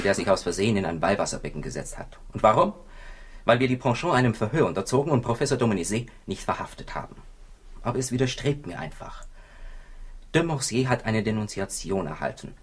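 An actor playing a weary, gossipy police commissioner in a 1960s radio crime drama, husky and confiding. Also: male voice, lowish voice, sing-song, fairly quick, full character voice. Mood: gloomy, wry. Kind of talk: 1960s German-language radio drama acting.

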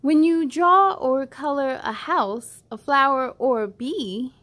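A woman in her thirties reading aloud to children as a storytime host, with an encouraging, animated manner and simple phrasing. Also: sits high, takes it slowly. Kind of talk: children's read-aloud, addressing young listeners.

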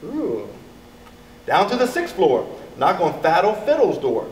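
A middle-aged man reading a children's storybook aloud in an expressive, deliberate manner. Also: high-pitched. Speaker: elementary school staff member reading to children. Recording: echoey, distant mic with background noise.

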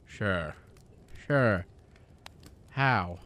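A man's voice: fairly low pitch, lively intonation, drawn-out words, fairly bright tone, exaggerated voice. A man playing a despairing, skeptical everyman in a radio drama, wry and stammering. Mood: bitter, sardonic. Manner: stammering, sardonic, incredulous.

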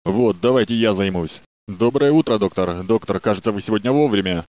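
A man's voice: on the low side, a little fast, dry recording, lightly acted. An actor playing a polite, dutiful male security guard in a game dub, courteous and a little flirtatious. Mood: friendly. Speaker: security guard in a research facility. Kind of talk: Russian game dub voice lines. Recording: dry, dull studio dub.

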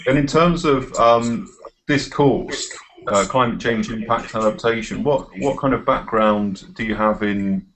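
A man's voice: mid-range voice, a little slow, a bit bright, poor audio. A middle-aged man leading an informal tutorial on a video call as course tutor, hesitant and encouraging. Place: small office, indoors.

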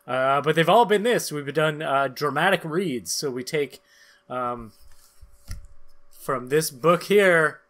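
A man's voice: a little high, slow, a bit bright. A man, perhaps in his thirties, hosting a podcast with casual, rambling, jokey chat. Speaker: podcast host and narrator.

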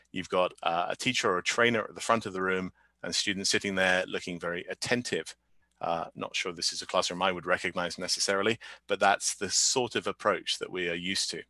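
A man's voice: low voice, bright, forceful tone, dry recording. A man presenting a webinar for language teachers over a video call, friendly and thinking aloud, with fillers and repeated words. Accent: non-Canadian English. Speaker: webinar speaker, teacher trainer.

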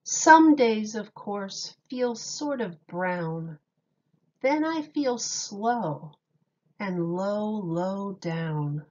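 A woman reading a children's picture book aloud like a teacher, deliberately and expressively, with dramatic emphasis on key words. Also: medium pitch, expressive, darkish tone, dull audio.

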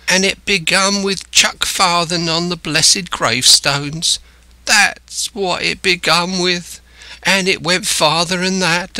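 A man's voice: a little high, bright, forceful tone, no echo, voice pushed up, exaggerated voice. A man voicing a marooned, half-crazed old seafarer in a storybook, sly and childish, with rambling, wheedling rustic sailor speech.

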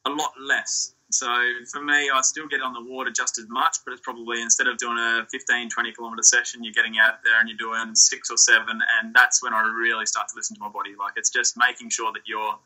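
A young Australian man, a surf ski champion, answering interview questions in a relaxed, rambling way over a video call from a small indoor room. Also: medium pitch, a little fast, hardly any pauses, poor audio. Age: young adult. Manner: relaxed, rambling, with restarts and fillers. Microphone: laptop or phone on a video call.

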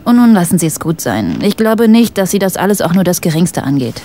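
An actress playing a guarded village shopkeeper in a German radio play, dry, curt and matter-of-fact. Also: female voice, lowish voice, big pitch swings, brisk, mellow, slight background noise. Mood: resigned, guarded.

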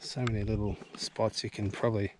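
A man giving relaxed, easily impressed commentary while hiking outdoors on a bush track. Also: fairly low pitch, a little fast, heavy background noise. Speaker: bushwalking vlogger. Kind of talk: casual walking commentary. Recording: music playing under the voice.

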